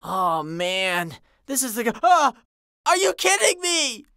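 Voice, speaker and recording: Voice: mid-range voice, big pitch swings, slow, bright, forceful tone, smooth, no room sound, clean studio sound, full character voice. An actor voicing an anxious, whiny female pegasus in a cartoon parody, stammering and slangy. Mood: nervous.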